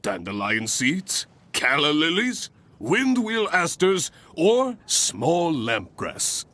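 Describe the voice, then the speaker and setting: An actor playing a hearty, encouraging guild examiner in a video game, with a jovial, theatrical manner. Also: male voice, on the high side, huge pitch swings, slow, fairly bright tone, heavily acted. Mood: instructive, theatrical. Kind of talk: video game character acting.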